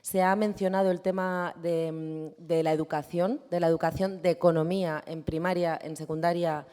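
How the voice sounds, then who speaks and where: A woman moderating an assembly, summarizing the debate briskly in a conference hall. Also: lowish voice, steady tone, mellow. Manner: brisk, organizing. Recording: reverberant hall.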